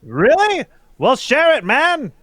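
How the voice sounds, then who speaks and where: A man's voice: very high voice, huge pitch swings, takes it slowly, fairly bright tone, no room sound, soft background music, pitched above the performer's own voice, full character voice. A man playing an earnest, grand intermission announcer in a 1940s-style radio play. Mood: eager.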